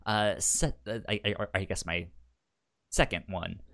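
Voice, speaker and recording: A man's voice: on the low side, unhurried, creaky, bright, forceful tone. A man co-hosting a podcast in casual chat, who stammers and repeats the first sounds of words. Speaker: podcast co-host.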